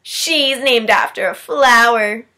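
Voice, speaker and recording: Woman putting on a high, snooty little schoolgirl. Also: takes it slowly, sharp tone. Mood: mocking.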